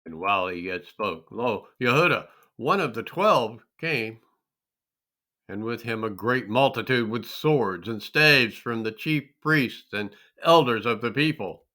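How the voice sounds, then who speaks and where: Bright-voiced man reading scripture, slow and solemn. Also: mid-range voice, animated. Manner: solemn, theatrical, deliberate delivery. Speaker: scripture reader. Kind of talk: dramatic scripted Bible narration, archaic English.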